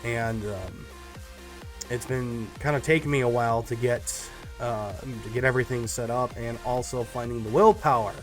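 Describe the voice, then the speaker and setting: A man's voice: medium pitch, moderate pace, soft background music. A man with a stuffy, congested voice gives a casual personal update to his viewers, rambling with lots of ums and restarts. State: stuffed up, nasal from a cold. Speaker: YouTuber.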